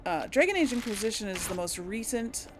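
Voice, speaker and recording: A woman's voice: a little high, sing-song, brisk, noisy background. A woman giving casual, musing game commentary, thinking aloud and speaking replies in character. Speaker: gaming video host.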